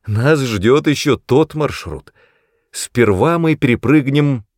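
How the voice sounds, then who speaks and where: A man's voice: medium pitch, lively intonation, slow, a little mellow, dry recording, studio quality, voice pushed up. A man voicing a playful, self-assured woman adventurer in an audiobook, teasing and assertive. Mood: amused, breezy.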